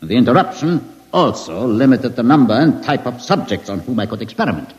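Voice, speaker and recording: A man plays a villainous mad scientist, smugly courteous and formal. His delivery is measured but animated, rising and falling with relish. It sounds like a muffled old radio drama with long reverb. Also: medium pitch, natural voice. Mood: gloating, clinical. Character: coldly polite villainous German mad scientist.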